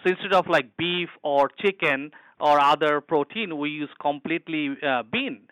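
A man's voice: a little high, normal speed, fairly bright tone, smooth, dry recording, rough recording. A man from Bangladesh with a Bangladeshi accent, a hospital chef telling eager, rambling stories in an interview. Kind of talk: interview answers.

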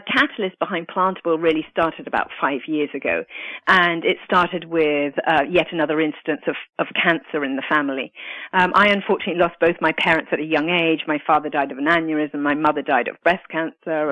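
A woman with a British accent touched by Italian speaks as a nutritionist guest on a radio show. Her voice sits fairly low, and she talks earnestly, often pausing and restarting. The recording is dry and slightly muffled. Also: no echo. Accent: British English with Italian traces. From Italy, grew up in the UK. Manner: earnest, with many fillers and restarts. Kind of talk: radio interview.

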